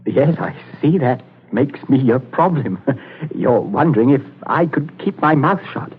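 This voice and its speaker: A man's voice: medium pitch, expressive, a little slow, husky. A man playing a frightened, hesitant captain who stammers and pleads in radio drama style. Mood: uneasy, hesitant. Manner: stammering, faltering, incredulous. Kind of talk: old-time radio drama acting. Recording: muffled lo-fi radio recording.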